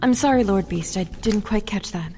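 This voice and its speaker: An actress playing a stern deputy mayor in an audio drama, brisk, sarcastic and authoritative. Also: female voice, medium pitch, a little mellow, soft background music, natural voice. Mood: dry sarcasm. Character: stern, sardonic female deputy mayor, curt official.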